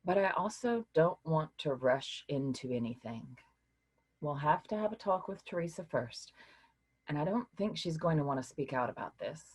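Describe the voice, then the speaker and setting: A woman playing a weary but caring literature professor in a staged reading, speaking in a measured, hesitant, reassuring way with a husky voice. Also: deep voice, lively intonation, darkish tone. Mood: cautious, measured.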